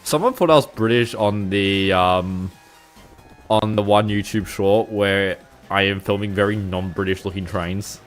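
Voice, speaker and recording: A man's voice: fairly low pitch, measured pace, faint music behind. A young man with an Australian accent, rambling casually to his livestream viewers with frequent chuckles and fillers. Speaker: streamer.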